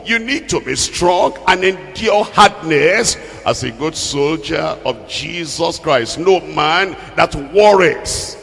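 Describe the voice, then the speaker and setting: A male preacher delivers an exhorting sermon in a high-pitched voice with plenty of creak. He takes his time, very slowly driving points home through repeated phrases. The sound carries the long reverb of a hall. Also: expressive, a bit bright. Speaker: preacher teaching from the Bible.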